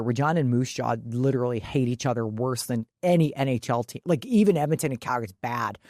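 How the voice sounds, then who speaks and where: A woman's voice: extremely low pitch, darkish tone, dry recording. Woman, podcast co-host, casual sports chat, brash, salty, free with swearing, dry recording.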